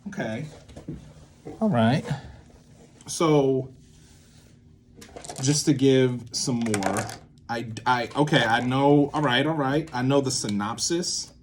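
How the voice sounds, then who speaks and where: A young man explaining in a casual, halting way, thinking aloud and often repeating and checking himself. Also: medium pitch, slight background noise. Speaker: creator teaching a creative method.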